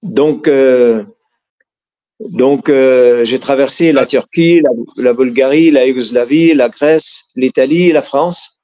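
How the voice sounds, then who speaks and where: A middle-aged man from Syria, speaking French with an Arabic accent in an earnest, storytelling way. His voice is mid-pitched, and he often hesitates and restarts mid-sentence. The recording is slightly dull and lo-fi. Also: slow pace, a little mellow. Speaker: interviewee. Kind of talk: casual storytelling interview.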